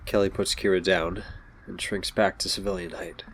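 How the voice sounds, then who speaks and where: A man's voice: sits low, level tone, normal speed, fairly bright tone, a little hiss or hum. A man reading scripted story narration for an audio drama. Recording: music behind.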